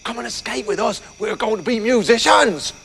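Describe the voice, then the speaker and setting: A man's voice: very high voice, animated, moderate pace, gravelly, a bit bright, breathy edge, long reverb tail, exaggerated voice. A man voicing a friendly old storybook donkey who leads the group, urging in an urgent, conspiratorial way.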